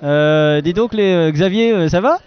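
Playful high-voiced man interviewing at a festival. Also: a little slow, highs cut off. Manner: playful, teasing, chatty. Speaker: roving interviewer at a wine festival. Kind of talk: casual street interviews. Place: outdoor festival.

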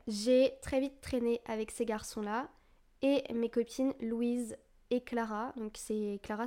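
A woman's voice: a little high, mellow. A woman in her twenties from France, hosting a podcast and telling her own story in a candid, confessional, casual way with fillers. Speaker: podcast host. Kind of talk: personal storytelling monologue.

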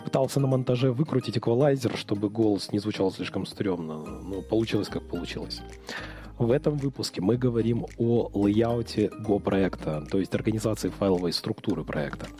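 A man with a cold and a hoarse, worn voice, reading an introduction as a podcast host. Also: fairly low pitch, fairly quick, warm tone, faint music behind. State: sick with a cold, weakened hoarse voice. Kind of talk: scripted episode introduction.

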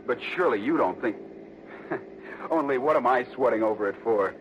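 A man's voice: medium pitch, animated, fairly quick, close to an everyday voice. A male actor plays a fair-minded American insurance salesman in a vintage radio drama. He talks colloquially, hesitating and hedging as he explains. The old recording is muffled, with faint noise. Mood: hesitant, hedging. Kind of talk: early 1960s American radio drama acting.

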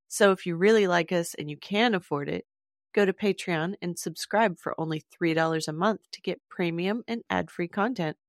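Female podcast host: scripted announcement read straight to listeners, slightly low voice, very dry recording. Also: dry recording. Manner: direct address to listeners.

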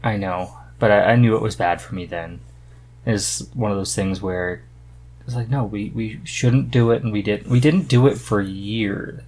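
Male podcast host, lower register, relaxed conversational delivery, casual chat. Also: normal speed.

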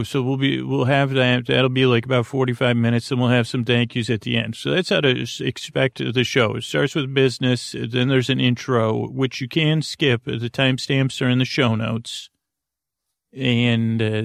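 A man with a creaky voice hosting a sleep podcast in a meandering, halting, goofy and self-deprecating monologue. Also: mid-range voice, no echo, clean studio sound. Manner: meandering, halting, trailing off into tangents. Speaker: podcast host.

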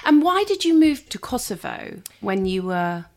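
A woman's voice: medium pitch, very animated, normal speed. Teasing woman podcast host. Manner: teasing, blunt, swears playfully. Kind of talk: casual interview chat.

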